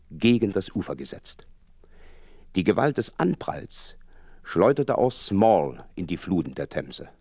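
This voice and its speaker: Radio drama doctor-chronicler: loyal, earnest, low voice, dry close sound. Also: male voice, darkish tone, no room sound. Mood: grave, measured. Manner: measured, literary storytelling. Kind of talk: dramatic radio play narration.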